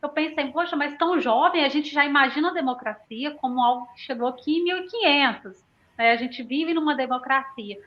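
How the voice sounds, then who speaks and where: A woman's voice: sits high, fairly quick. A Brazilian woman in her thirties speaking reflectively in a conversational panel discussion, often punctuating her points with tag questions. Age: 30s. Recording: dull lo-fi audio.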